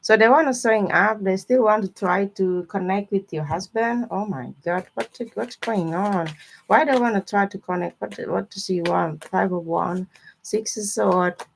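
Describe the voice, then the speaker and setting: A woman's voice: medium pitch, moderate pace, vocal fry, slight background noise, rough recording. A woman with informal, non-native English phrasing, chatting casually with viewers as she gives a live tarot reading. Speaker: tarot reader hosting a live stream.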